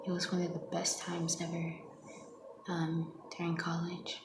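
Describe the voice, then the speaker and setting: Hushed, slow young woman whispering ASMR. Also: on the low side, monotone. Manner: hushed, gentle, unhurried. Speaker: ASMR host reading their own poems. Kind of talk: soft-spoken, half-whispered ASMR poem reading. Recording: echoey, with clear background noise.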